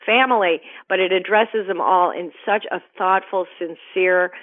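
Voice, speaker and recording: Woman film critic on a phone line; brisk, enthusiastic delivery, lo-fi phone audio. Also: lowish voice, steady tone. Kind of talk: phone-in movie reviews.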